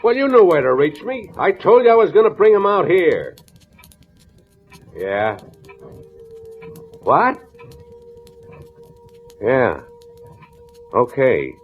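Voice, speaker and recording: An American actor playing a hard-boiled, impatient police captain who badgers with gruff, clipped questions in an old-time radio crime drama. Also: male voice, on the high side, very animated, slow pace, long pauses, soft background music. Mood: irritated. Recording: tinny, band-limited vintage radio recording.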